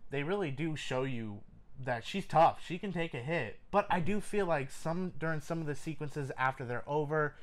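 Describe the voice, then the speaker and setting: A man's voice: on the high side, normal speed. A man co-hosting a casual movie review, talking enthusiastically and repeating phrases for emphasis. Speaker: film review co-host.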